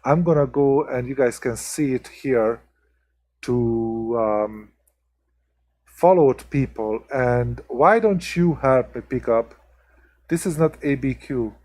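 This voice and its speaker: A man's voice: medium pitch, slow pace, a little mellow. A man from Hungary with a strong Hungarian accent, chatting casually with viewers as the host of a live stream, full of ums and restarts. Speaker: livestream host.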